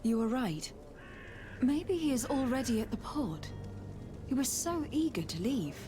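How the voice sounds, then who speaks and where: A woman's voice: high voice, lively intonation, a little slow, over music. An actress playing a poised young noblewoman diplomat in a fantasy video game, speaking formally, earnestly and politely.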